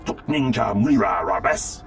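A man voicing an alien in a video game, speaking an invented galactic tongue in a gravelly voice. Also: on the low side, animated, unhurried, fairly bright tone, heavily acted. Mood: gruff chatter. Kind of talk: scripted video game creature dialogue. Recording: music behind the voice.

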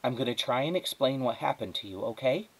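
A man voicing a cynical, earnest young girl from a storybook, bossy and excitable, talking in an emphatic conversational way. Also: mid-range voice, fairly quick, slightly rough, pitched above the performer's own voice, low-quality recording. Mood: eager, earnest.

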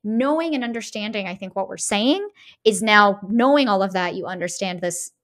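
A woman's voice: mid-range voice, expressive, moderate pace, a bit bright. A woman co-hosting a podcast in analytical conversation, emphatic and often checking in with tag questions. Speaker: podcast co-host.

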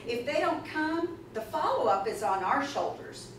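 A woman's voice: sits high, moderate pace. A woman presenting at a conference, talking in an emphatic, chatty way and taking questions from the audience. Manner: animated, emphatic, chatty with asides. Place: conference room, audience seated. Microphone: far camera in the audience. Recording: echoey room from far off, noisy.

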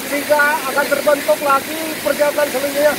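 A middle-aged man leading a group of friends, talking slowly in a speech-like way into a handheld phone camera at an outdoor hot spring waterfall. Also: very high-pitched, completely flat delivery, very noisy. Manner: slow, speech-like, addressing the group. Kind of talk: casual on-camera trip chat. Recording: loud roar of a waterfall.

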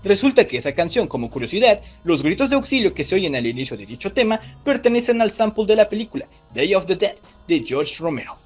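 A man's voice: sits high, big pitch swings, fast talker, few pauses, slightly rough, faint music behind. A man hosting a video with casual scripted commentary, chatting directly to his viewers. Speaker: YouTube host.

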